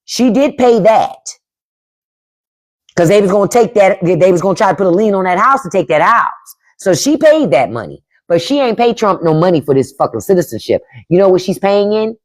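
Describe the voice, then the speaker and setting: Blunt, profane woman with a lively voice. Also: mid-range voice, brisk. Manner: blunt, profane, emphatic, reads and answers chat. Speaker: podcast host on a live stream. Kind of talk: casual livestream commentary.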